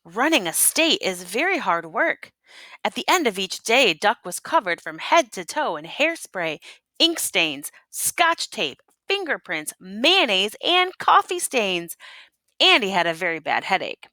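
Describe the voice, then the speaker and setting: Bright-voiced woman reading a storybook aloud. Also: mid-range voice, big pitch swings, a little slow, dry recording. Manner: teacherly, prompting listeners with questions. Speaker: teacher reading a picture book aloud to children. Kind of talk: expressive read-aloud storytelling with asides and questions.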